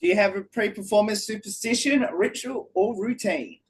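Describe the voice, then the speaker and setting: A woman's voice: medium pitch, normal speed. A woman with an Australian accent asking short, friendly interview questions over a video call. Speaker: radio interviewer.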